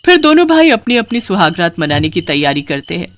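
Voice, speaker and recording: A woman's voice: on the low side, big pitch swings, a little fast. A woman narrator reading a scripted cartoon story.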